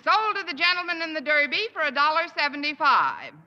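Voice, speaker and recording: A quick-witted schoolteacher running an auction in an old radio comedy. Her voice is fairly high for a woman and very bright and forceful, with a dry, wisecracking delivery. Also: big pitch swings, lo-fi sound, full character voice. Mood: brisk selling. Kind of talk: 1950s radio sitcom acting. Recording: vintage 1950 radio broadcast.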